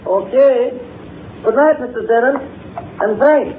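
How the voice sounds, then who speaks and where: A talkative old carriage driver with a very high, aged voice. He rambles on in a folksy, grumbling, nostalgic way, always deferential to his fare. It is 1930s radio drama, thin and echoey. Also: unhurried. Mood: deferential concern. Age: older. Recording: tinny, noisy, echoey 1930s radio recording.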